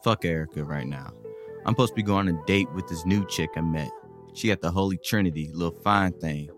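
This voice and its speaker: A man's voice: low voice, a little fast, music in the background, lightly acted. A man playing a cocky but guarded single guy in a casual audio drama, talking in boastful, skeptical slang over a game of pool. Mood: casual, wary.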